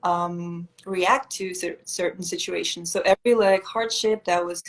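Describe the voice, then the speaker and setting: A woman's voice: on the low side, steady tone, unhurried, low-quality recording. Chatty young woman. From Russia. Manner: chatty, leans on "like" a lot, laughs often. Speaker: guest on a live stream. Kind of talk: casual chat.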